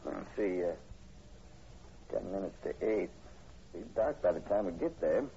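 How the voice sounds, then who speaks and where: Low, mellow, plain-spoken police sergeant. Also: male voice, animated, moderate pace. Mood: matter-of-fact. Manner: folksy, matter-of-fact. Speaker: radio drama actor. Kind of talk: 1940s radio horror drama acting. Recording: muffled, hissy old radio recording.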